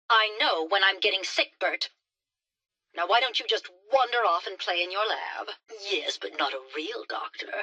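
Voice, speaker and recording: A woman's voice: on the low side, fairly bright tone, breathy edge, no room sound, full character voice. A woman voicing a haughty, petulant rich heiress in a robot body for a video game, with pompous, scornful, theatrical diction through a metallic filter. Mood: petulant, complaining.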